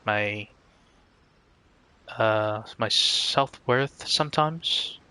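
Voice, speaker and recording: A man chatting casually on a game livestream in an earnest, halting, reflective way. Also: medium pitch, pushed and bright, clear, resonant tone, dry recording. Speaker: game streamer.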